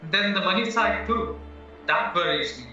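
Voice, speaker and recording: Man acting anxious young accused man, radio play, high pitch, naive, distant muffled echoey recording. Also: animated, normal speed, bright, forceful tone, faint music behind, heavily acted. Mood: worried, pleading.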